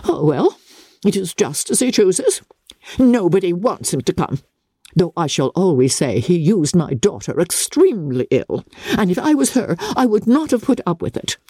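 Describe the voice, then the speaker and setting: A woman's voice: lowish voice, sing-song, moderate pace, warm tone, slightly rough, no room sound. A woman voicing a fussy, querulous middle-aged mother who chatters fretfully and self-pityingly in a dramatic novel reading. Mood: huffy.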